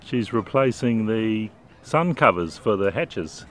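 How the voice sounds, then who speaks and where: Low-voiced man narrating his sailing vlog. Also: animated, slow pace, a bit bright. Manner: upbeat, chatty, with fillers. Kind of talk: casual vlog narration and chat. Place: on board a sailing catamaran. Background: music under the narration.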